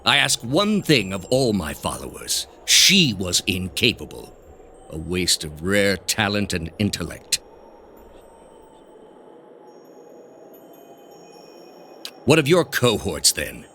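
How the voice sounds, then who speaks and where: An actor playing a grave, zealous older male inquisitor leader in a video game, speaking in a measured, commanding way. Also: low-pitched, sharp tone, slight background noise, heavily acted. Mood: cold, disdainful. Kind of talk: scripted video game dialogue. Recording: music under the voice.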